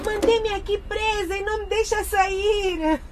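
A woman's voice: very high voice, fairly even intonation, measured pace, heavy background noise. A woman acting in a radio soap as a frightened, pleading teenage girl held captive. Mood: desperate pleading.

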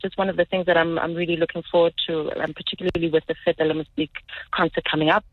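A woman's voice: on the low side, little pitch movement, racing, crowd noise. South African woman, slightly muffled radio sound. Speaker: singer, interview guest. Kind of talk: casual radio interview. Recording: dull lo-fi radio feed.

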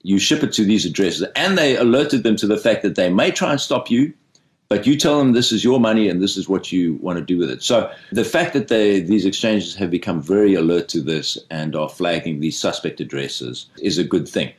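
Male interviewer, deep voice, measured and careful. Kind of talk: interview questions and comment.

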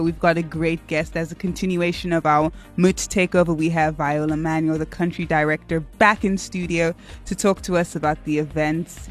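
A young woman co-hosting a radio show in casual, bubbly chat, rambling with filler words. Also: deep voice. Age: young adult. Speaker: radio co-host. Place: radio studio. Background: faint music bed.

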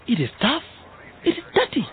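A man's voice: very high-pitched, wildly swooping pitch, moderate pace, phone-quality sound, a little hiss or hum, exaggerated voice. An actor playing a middle-aged, dramatic, protective father in a radio drama. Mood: worried warning. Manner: exclaiming, sighing, dramatic. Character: doubtful, protective, loving African father of teenage children.

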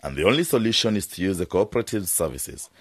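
Deep, husky farm owner, insistently persuasive. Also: male voice, sing-song, fast, heavily acted. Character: earnest farm owner and peanut producer bargaining for help. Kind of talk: radio drama acting.

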